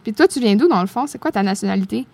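A woman's voice: medium pitch, animated, very fast, vocal fry, dark tone, husky. A woman interviewing a musician, often stumbling over and restarting her questions.

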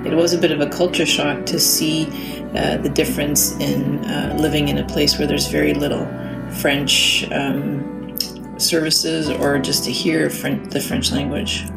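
Deep gravelly woman over loud guitar. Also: little pitch movement, unhurried. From Northern Ontario, Canada. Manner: hesitant, many fillers. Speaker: interviewee. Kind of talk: casual interview answer. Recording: roomy, loud guitar music behind.

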